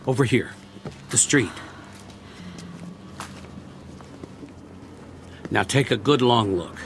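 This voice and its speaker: An actor playing a war-weary, talkative soldier in a radio drama, rambling and wry, lonely and eager to please, stammering when eager. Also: male voice, medium pitch, animated, moderate pace, background noise, close to an everyday voice. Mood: bitterly wry.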